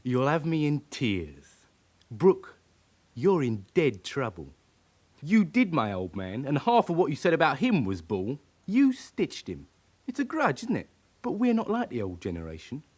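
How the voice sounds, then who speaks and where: A young London criminal from a radio crime play, with a fairly high voice and lively, swinging intonation. He is cocky, sneering and aggrieved, talking in street slang. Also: a little slow, breathy edge, exaggerated voice. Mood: menacing, sneering. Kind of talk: radio crime drama acting.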